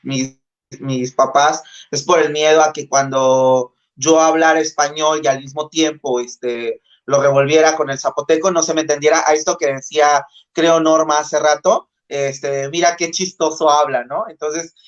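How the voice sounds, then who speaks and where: Male panel guest, southern Mexican accent, slightly high voice, halting casual delivery, lo-fi online audio. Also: fairly even intonation. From Isthmus of Tehuantepec, Oaxaca, Mexico. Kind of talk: informal panel discussion. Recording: dull lo-fi video call audio.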